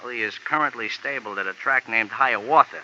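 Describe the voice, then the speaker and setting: An actor playing a small, excitable male jockey in a 1950s radio drama, talking fast, wordy and emphatic. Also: mid-range voice, slight background noise. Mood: earnest. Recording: lo-fi old radio broadcast.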